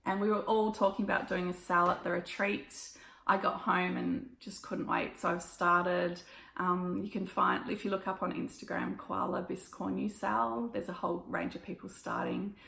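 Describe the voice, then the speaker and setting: An Australian woman with a croaky, hoarse voice, chatting casually through a stitching haul with lots of ums and little laughs. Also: fairly low pitch, little pitch movement, normal speed, a bit bright, reverberant. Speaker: cross-stitch vlogger. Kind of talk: casual show-and-tell recap.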